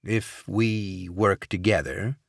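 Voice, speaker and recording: Audiobook: male narrator voicing a calm male inspector, near his own low voice, dry room. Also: takes it slowly, gravelly, no room sound. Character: smooth-talking male undercover inspector.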